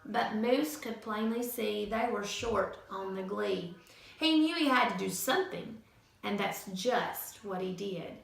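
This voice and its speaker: A woman teacher reading a picture book aloud to children in an expressive, sing-song storytelling manner. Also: mid-range voice, measured pace, creaky. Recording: echoey room from far off.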